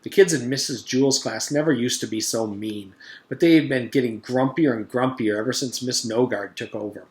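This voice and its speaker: A man with a mid-pitched voice reads a children's storybook aloud in the role of a teacher. The recording is roomy, with noticeable echo around his words. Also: fairly quick, a little mellow. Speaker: teacher reading aloud to pupils.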